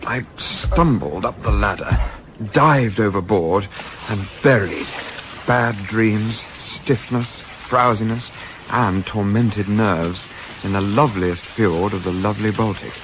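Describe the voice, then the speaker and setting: An actor playing a polite, inexperienced British gentleman yachtsman in a radio play, with genteel, old-fashioned literary phrasing. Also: on the low side, takes it slowly, noisy background. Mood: wry, measured. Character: polite, eager, inexperienced gentleman yachtsman narrating his memoir. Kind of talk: radio play narration and dialogue.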